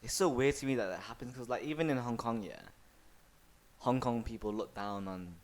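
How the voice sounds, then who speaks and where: A man's voice: mid-range voice, a little fast. A young man with a London accent, co-hosting a casual podcast chat and telling stories in slangy, sweary talk. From England. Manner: slangy, swears freely, animated storytelling. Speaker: podcast co-host.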